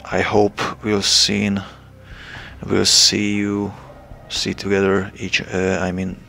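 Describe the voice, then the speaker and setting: A young man from Hungary with a Hungarian accent, making a hesitant, casual announcement to his channel's viewers, pausing often with fillers. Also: low-pitched, completely flat delivery, vocal fry, sharp tone, slight background noise. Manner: hesitant, many filler pauses. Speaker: channel creator.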